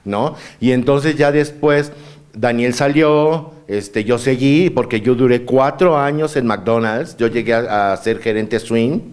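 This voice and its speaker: A man's voice: medium pitch, a little slow, a little mellow. A man in his fifties with a Mexican Spanish accent, a guest telling stories in a campy, playful way. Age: 50s. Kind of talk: casual chat.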